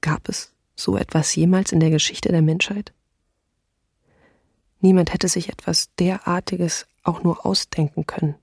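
A young woman diarist in despair, husky and breathy. Her delivery is flat and restrained, close and dry, as in a documentary radio drama. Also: fairly low pitch, measured pace, no echo, heavily acted. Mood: bleak, despairing. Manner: subdued, even. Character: despairing 28-year-old woman diarist in wartime.

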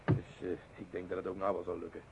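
An actor playing a gruff, practical, unbelieving traveller in a radio play, blunt but polite. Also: male voice, low voice, monotone, quick pace. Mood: awkward, polite. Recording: muffled noisy old radio recording.

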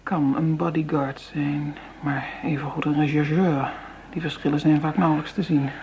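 A woman's voice: sits low, steady tone, normal speed. An actress playing a tough, seductive female crime boss in a radio drama, cool and commanding, with knowing hums. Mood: appraising, flirtatious. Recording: dull radio recording, faint noise.

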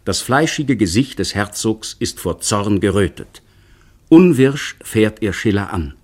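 A man with a low voice narrates an old radio play, measured and descriptive in his telling. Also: expressive, darkish tone. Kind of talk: scripted radio drama narration.

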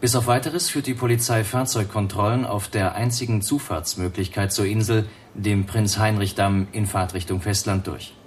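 A man playing a radio newsreader in a radio drama, delivering a scripted bulletin in a measured, impersonal, formal way. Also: lowish voice, steady tone, slight background noise, close to an everyday voice. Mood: neutral, official. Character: sober male radio newsreader.

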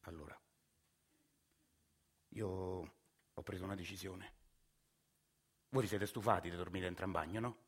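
A man, narrating and acting his own story in a radio theatre monologue, soft-spoken and hesitant. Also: low voice, steady tone, moderate pace, pauses often, breathy edge. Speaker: narrator and lead. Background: music.

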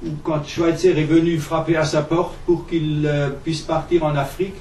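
A man's voice: on the high side, little pitch movement, normal speed, darkish tone, a little hiss or hum. A man in the audience at a public talk, answering in a precise way and quoting documents. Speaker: audience member. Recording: echoey room, speaker far from mic.

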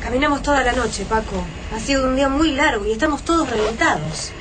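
A woman's voice: high-pitched, animated, brisk. An actress with an Argentine accent playing a practical, weary woman resistance fighter in a radio drama. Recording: clearly noisy ambient sound bed.

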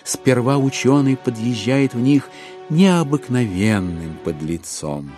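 An actor as the wry narrator of a classic novel in an audio drama, speaking with ironic relish and deliberate, expressive phrasing. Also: male voice, mid-range voice, faint music behind.

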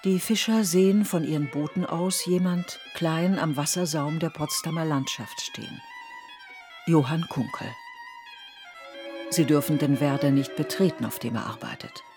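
A woman playing the sober, deliberate narrator of a historical radio play. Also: low voice, over music, close to an everyday voice. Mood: descriptive.

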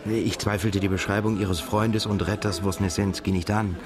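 An actor in a literary radio drama playing a haunted, reflective narrator, courteous and quietly probing, with restrained, formal speech. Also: male voice, lowish voice, fairly even intonation, moderate pace, over music, lightly acted. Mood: measured, probing.

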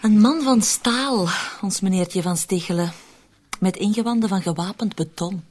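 An actress playing a cynical, scheming woman who deflects blame in a radio drama, with a husky voice. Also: medium pitch, moderate pace, darkish tone, lightly acted. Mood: dryly amused.

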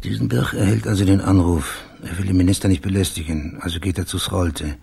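Dogged male investigator for radio crime drama: very raspy, low, terse, pressing. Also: fairly even intonation, fairly quick, mellow, full character voice. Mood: brisk reasoning. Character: dogged, blunt male investigator questioning witnesses and reasoning out a murder. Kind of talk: naturalistic German radio crime drama acting.

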